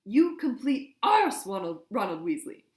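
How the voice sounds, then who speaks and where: A woman reading a storybook, voicing a furious young witch who screams and screeches in a shrill voice. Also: expressive, a little fast, fairly bright tone, roomy sound.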